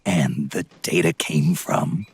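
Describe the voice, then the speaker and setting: An actor playing a gruff, aging soldier in a video game, terse and dry with a gravelly rasp. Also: male voice, a bass voice, slow pace, exaggerated voice. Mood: probing. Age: older. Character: gruff, world-weary aging male soldier, sardonic and terse. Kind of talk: video game cutscene acting.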